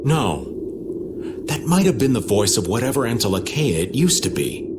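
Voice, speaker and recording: A man voicing a casual, worldly adventurer in a fantasy video game, over loud music. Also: medium pitch, big pitch swings, moderate pace, heavy background noise, close to an everyday voice. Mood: concerned. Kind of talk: English video game voice acting.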